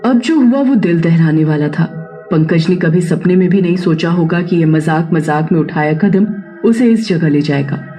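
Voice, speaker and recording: A man's voice: a little high, dark tone. A man narrating a scripted horror story in a dramatic, suspenseful storytelling manner. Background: faint music.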